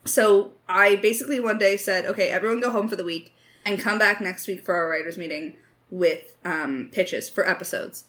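A woman's voice: medium pitch, normal speed, a bit bright. A woman co-hosting a podcast Q&A, talking in a chatty, informal, joking way. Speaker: podcast co-host and audio drama creator. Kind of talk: casual Q&A chat.

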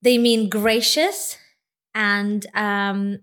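A woman's voice: medium pitch, fairly even intonation, extremely slow, a bit bright. A young pregnant woman from Lithuania, a podcast guest chatting casually, laughing often and trailing off with fillers. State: heavily pregnant. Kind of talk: casual interview chat.

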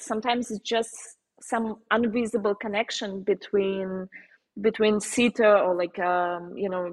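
Slovenian-accented woman, halting and chatty. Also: mid-range voice, low-quality recording. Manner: halting, many fillers and restarts, laughs often. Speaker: portrait painter, guest. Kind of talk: casual interview answers.

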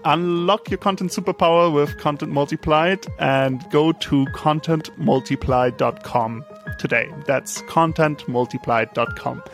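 A man's voice: fairly high pitch, unhurried. Earnest male podcast host over music. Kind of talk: scripted ad read and interview intro.

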